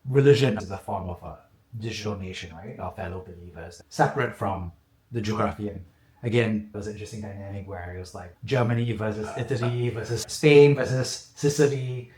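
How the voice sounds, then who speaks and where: A man's voice: fairly low pitch, a little fast, a little mellow, echoey room. A man hosting an interview podcast, probing his guest with counterarguments and history examples. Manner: probing, plays devil's advocate with history examples. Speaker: podcast host.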